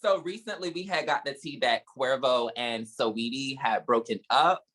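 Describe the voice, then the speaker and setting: A young man hosting a casual podcast chat, animated, laughing often and swearing playfully. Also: medium pitch, normal speed. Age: young adult. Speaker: podcast host.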